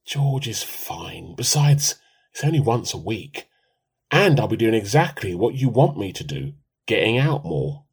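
Man playing a husky, melodramatic husband. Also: on the low side, a little slow, heavily acted. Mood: defensive. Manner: pompous, excitable. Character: melodramatic, timid, comically earnest middle-aged husband. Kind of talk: amateur radio play dialogue.

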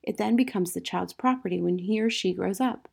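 A woman playing a gentle, modest young schoolteacher in a storybook, confiding in a soft, restrained way with quiet resilience. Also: mid-range voice, a little fast, creaky, slightly rough. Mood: resigned.

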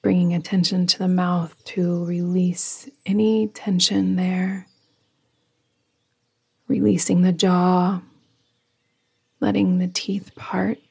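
A woman leads a guided meditation very slowly and softly. Her voice is mellow and lowish, with a gravelly creak at the edges. She keeps an even, soothing flow that often thins into a breathy whisper. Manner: soft, breathy, hushed delivery trailing into whispers. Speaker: yoga nidra guide.

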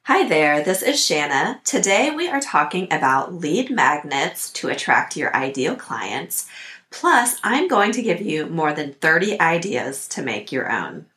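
A woman hosting a podcast, hoarse from a cold, giving upbeat, practical coaching tips. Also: low-pitched, sing-song, unhurried, sharp tone, reverberant, faint music behind. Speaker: podcast host. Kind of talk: instructional podcast talk.

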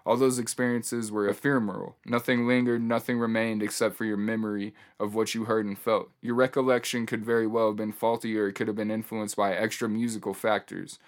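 Male podcast host, lowish register, flat intonation, laid-back solo delivery with hip-hop slang. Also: brisk, few pauses. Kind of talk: casual podcast monologue with read-out passages.

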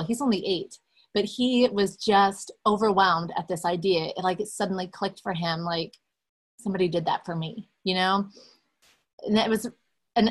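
A woman, telling her story candidly as an interview guest with many fillers. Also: on the low side. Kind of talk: conversational interview, personal story.

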